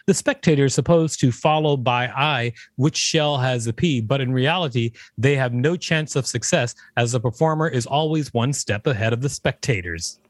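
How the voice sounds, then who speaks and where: A man's voice: medium pitch, moderate pace, hardly any pauses, no room sound. A man, co-hosting a podcast, reading an article aloud and chatting casually about it. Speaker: podcast co-host.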